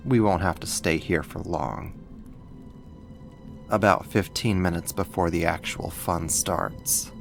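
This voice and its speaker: A man's voice: low-pitched, creaky, a bit bright, exaggerated voice. A man playing a sadistic, mocking villain and kidnapper in an ASMR roleplay, with a controlling, condescending manner. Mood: mocking showmanship. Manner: slow, taunting, controlling, condescending.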